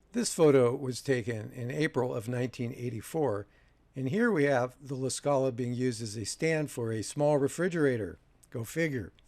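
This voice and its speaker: A man in his sixties narrating old photographs in a measured, reminiscing way with dry humour. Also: medium pitch, creaky, a little mellow, dry recording. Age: 60s. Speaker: video host, audio reviewer. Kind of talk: narration over a photo slideshow.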